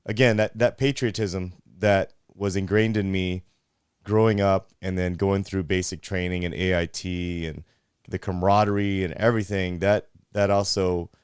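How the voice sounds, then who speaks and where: Deep smooth guy interviewing, dry mic, slow careful questions. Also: fairly even intonation, dry recording. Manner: measured, affirming, drawn-out questions. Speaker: podcast host. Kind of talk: conversational interview.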